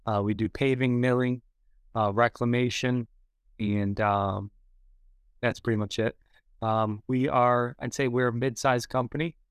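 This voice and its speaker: A man around thirty speaks as a podcast guest, relaxed and matter-of-fact. His pitch stays flat and even, his voice smooth and clear, and the sound is dry and close. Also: a little slow, no room sound. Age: 30s. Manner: laid-back and matter-of-fact, with many fillers. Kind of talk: casual interview talk.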